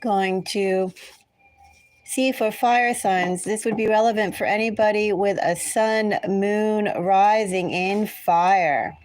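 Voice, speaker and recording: A woman's voice: medium pitch, takes it slowly, darkish tone. A woman with an American accent, chatting casually and in a rambling way as she hosts a tarot reading livestream. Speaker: tarot reader hosting a livestream. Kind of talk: casual livestream chat with viewers.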